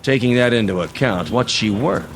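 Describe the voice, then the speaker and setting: A man's voice: medium pitch, moderate pace, gravelly, a little hiss or hum, close to an everyday voice. An actor playing a pompous, folksy and shrewd veteran politician, an older man, in an old-time radio drama. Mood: skeptical, probing. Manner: pompous, wheedling, theatrical.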